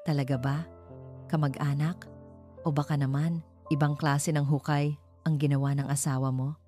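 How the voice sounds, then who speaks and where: A storyteller plays a nosy Filipino village woman, sneering and insinuating. Her voice is mellow and swings upward as she taunts. It is a storybook figure, with music behind. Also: sits low, flat delivery, moderate pace, natural voice. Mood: sneering, suspicious.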